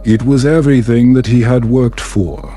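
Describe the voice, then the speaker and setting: A man tells a bedtime story in a soothing, measured style. His voice is mellow and relaxed, with a medium pitch, and he takes his time over the scripted narration. Soft music plays behind him. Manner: soothing, measured, unhurried delivery.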